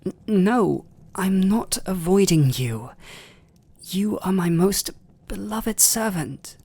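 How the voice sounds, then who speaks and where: A man with a British accent playing a gentle, overworked vampire lord in a romantic ASMR roleplay, speaking softly, formally and a little haltingly. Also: high-pitched, expressive, no room sound, exaggerated voice. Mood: insistent, evasive. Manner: soft, breathy, halting, formal. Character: gentle, overworked aristocratic male vampire lord, restrained and devoted.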